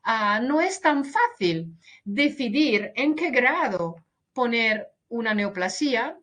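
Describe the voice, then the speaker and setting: A woman lectures slowly in a webinar, her voice bright and fairly high. She restarts words often and slips into English and Portuguese. The sound comes through a video call. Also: sing-song, a little hiss or hum. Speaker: veterinary pathologist lecturer.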